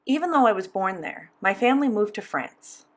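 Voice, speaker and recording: Female voice interpreter, mid-pitched, steady and measured, first-person rendering of a signed conversation. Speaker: sign language voice interpreter.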